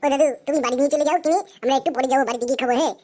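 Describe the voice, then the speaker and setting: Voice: high-pitched, fairly even intonation, rapid-fire, dark tone, close to an everyday voice. A performer voicing a cheeky, breezy older boy who wheedles in a Bengali comedy cartoon.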